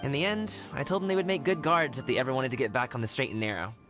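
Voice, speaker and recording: An actor playing an earnest young man eager to prove himself, speaking in a direct, lively way. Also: mid-range voice, quick pace, over music, natural voice. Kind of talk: game cutscene voice acting.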